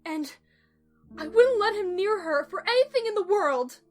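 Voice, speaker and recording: A woman's voice: very high voice, expressive, normal speed. A woman playing an earnest, protective mother pony in an emotional audio drama, speaking confessionally. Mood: bitter, pleading.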